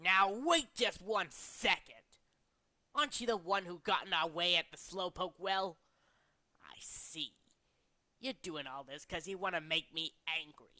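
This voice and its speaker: A man's voice: sits high, unhurried, bright, forceful tone, no room sound, heavily acted. A man voicing a cold, menacing male gang executive in a video game, with a condescending, theatrical way of threatening. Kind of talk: video game villain character acting.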